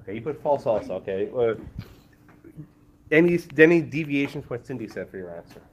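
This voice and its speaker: A man's voice: mid-range voice, expressive, fairly quick, a little hiss or hum. A man teaching a law class, calling on students by name and pressing them with questions, a little congested today. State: slightly congested, lower voice than usual. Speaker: law professor. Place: law school lecture room.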